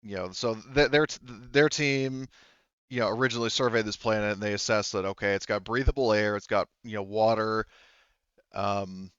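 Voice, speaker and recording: A man voicing a veteran colonial prospector in a tabletop game, casual and forthcoming, explaining in a rambling, halting way. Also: lowish voice, fairly even intonation, fairly bright tone, smooth, dry recording, lo-fi sound, close to an everyday voice. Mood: explanatory.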